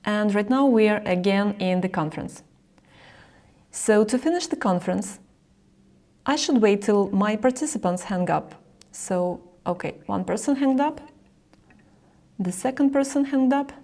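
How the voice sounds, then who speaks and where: Woman doing a how-to screen recording voiceover, medium pitch, explains stuff step by step to the viewer. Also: normal speed, long pauses, a little mellow. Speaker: software tutorial presenter. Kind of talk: instructional screen-recording narration.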